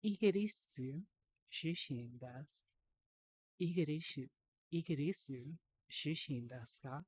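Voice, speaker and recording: A woman live-streaming in a VR headset, chatting casually and reading out phrases. She sounds tired and a little hoarse, like she is losing her voice. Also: low-pitched, darkish tone. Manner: chatty, reads aloud slowly, laughs easily. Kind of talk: casual live-stream chat while learning Japanese. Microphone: VR headset mic.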